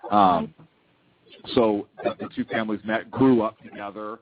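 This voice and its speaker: Low-voiced man from Hawaii, halting. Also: fairly even intonation, background noise. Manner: halting, full of ums and restarts. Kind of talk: casual chat. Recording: tinny phone-like line.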